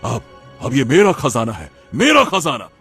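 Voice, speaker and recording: A man playing an excitable, greedy, scheming king in a Hindi cartoon folk tale, theatrical and repeating his phrases. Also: a little high, slightly rough, exaggerated voice. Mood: greedy, gloating. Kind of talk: dramatic Hindi cartoon story acting.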